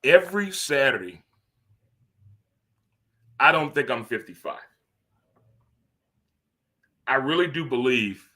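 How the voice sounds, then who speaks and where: A man in his fifties hosting a livestream sports talk show, rambling and animated, teasing himself and asking "huh?". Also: mid-range voice. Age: 50s. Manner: talks to himself by name. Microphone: streaming studio mic.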